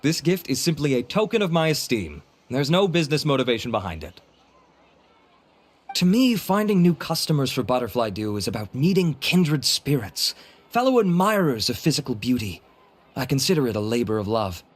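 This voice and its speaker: A man's voice: medium pitch, big pitch swings, normal speed. A man playing a courteous, vain beauty-product researcher in a video game, speaking in a polished, formal, self-assured way. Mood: gracious.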